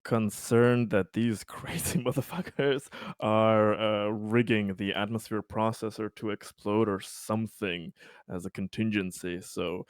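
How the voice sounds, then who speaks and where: Male tabletop player, low voice, halting and jokey with some swearing, dry close sound. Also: steady tone, clear, resonant tone, dry recording. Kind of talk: casual game chat.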